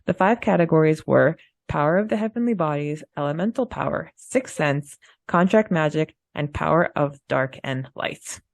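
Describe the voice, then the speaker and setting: A woman's voice: low-pitched, lively intonation, normal speed, creaky, no room sound. A woman co-hosting a discussion podcast, moving between prepared narration and conversational talk. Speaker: podcast co-host. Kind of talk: book discussion podcast, partly read summary.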